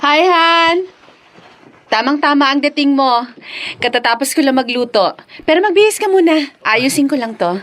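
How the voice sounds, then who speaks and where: A young Filipino wife in a radio serial. She is sweet and modest, with a fairly high voice and natural domestic delivery. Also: lively intonation, normal speed, a bit bright. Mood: cheerful. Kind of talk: Filipino radio drama acting.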